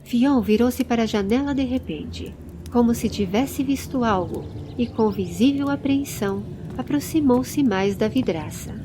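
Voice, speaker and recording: Uma mulher narrando uma história em áudio, lendo um texto roteirizado como contadora de histórias. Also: female voice, fairly high pitch, a little slow, background noise. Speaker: storyteller, narrator. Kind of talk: scripted audio story narration.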